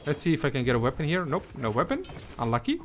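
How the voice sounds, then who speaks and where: Casual male gaming host, lively swings in pitch, informal and halting, some background noise. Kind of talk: casual gameplay guide commentary.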